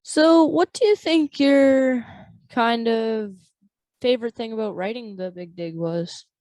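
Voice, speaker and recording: A woman's voice: fairly high pitch, measured pace, warm tone, dry recording. A woman hosting a book review show, asking questions in a casual interview style. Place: remote online call.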